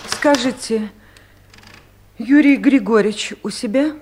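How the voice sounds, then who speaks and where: A woman's voice: fairly high pitch, takes it slowly, darkish tone, a little hiss or hum. A woman playing an earnest, lovesick office worker in a radio comedy drama, polite and eager. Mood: eager, shy. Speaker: actor in an audio play.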